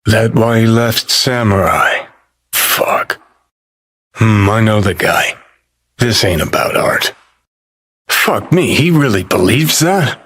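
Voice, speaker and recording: An American man playing a cynical, foul-mouthed, world-weary rebel rock star in a video game, with a gravelly, husky voice and a clipped, sardonic, swaggering drawl. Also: on the low side, a bit bright, full character voice. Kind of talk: video game character dialogue.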